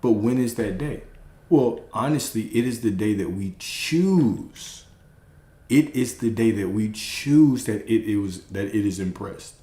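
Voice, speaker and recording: A man's voice: lowish voice, expressive, a little slow, dark tone, reverberant. A man hosting a live stream, giving an emphatic spiritual pep talk and repeating his key phrases.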